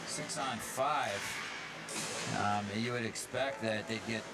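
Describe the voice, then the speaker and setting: A man's voice: mid-range voice, slow pace, loud music behind the voice. A man giving casual color commentary at an ice hockey game, telling rambling stories full of fillers and restarts. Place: ice rink. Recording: loud rink ambience behind.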